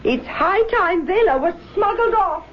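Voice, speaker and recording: A woman's voice: very high voice, animated, unhurried. Sly, composed mother of the bride, theatrical and precise, dull vintage radio sound over music. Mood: composed, reassuring. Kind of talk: old-time radio drama acting. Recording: dull 1970s radio broadcast, music underneath.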